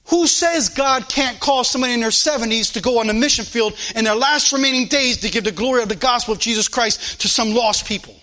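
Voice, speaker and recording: A man's voice: very high voice, fairly quick, few pauses, bright, forceful tone. A man preaching a sermon with fervent, emphatic delivery and many rhetorical questions, in a church. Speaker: pastor. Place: church sanctuary. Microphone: live stream recording.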